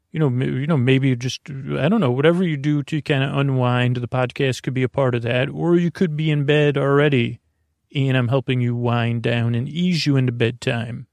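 A man's voice: medium pitch, gravelly, darkish tone, no room sound. A man hosting a sleep podcast, rambling in a casual, easygoing way and often stumbling over his words. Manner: easygoing, companionable.

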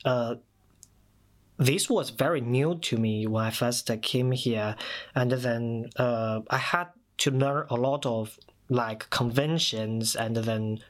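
A man in his thirties from China, Mandarin native, with a Chinese accent, telling personal stories as a podcast guest with many pauses and fillers. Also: mid-range voice. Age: 30s. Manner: thoughtful, many "uh" fillers and restarts. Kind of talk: personal storytelling.

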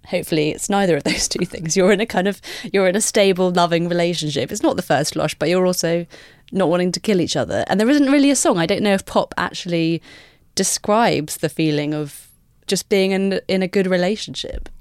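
A woman co-hosting a podcast, chatty and quick to laugh. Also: on the low side, a little mellow, no room sound, studio quality. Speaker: podcast co-host. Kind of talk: podcast discussion. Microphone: studio mic.

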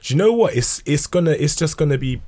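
A man's voice: fairly high pitch, lively intonation, fast, dark tone. A man with a London accent co-hosting a casual podcast, bantering in heavy youth slang. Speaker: podcast co-host.

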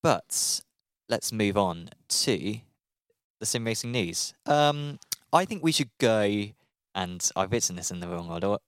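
A man co-hosting a racing podcast in casual chat, relaxed and hesitant with many fillers. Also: on the low side, a little slow, smooth, dry recording. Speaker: podcast co-host. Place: studio.

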